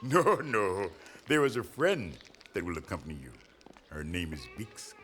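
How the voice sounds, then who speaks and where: A man acting a calm, wise native guide in an audio drama, speaking in a measured, explanatory way. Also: deep voice, a little hiss or hum. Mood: reassuring. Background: music.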